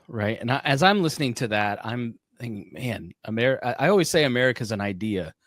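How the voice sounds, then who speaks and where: A man's voice: on the low side, no room sound, clean studio sound. A man hosting a podcast interview, enthusiastic and probing, often checking in with "right?". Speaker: podcast host. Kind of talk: conversational interview.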